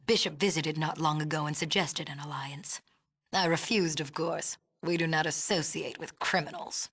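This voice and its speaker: A woman's voice: deep voice, fairly bright tone. An actress playing a stern, haughty female leader of an isolationist city in a video game, speaking in a formal, clipped, imperious way. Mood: brisk, formal. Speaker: game character voice actor. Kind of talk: scripted video game dialogue acting.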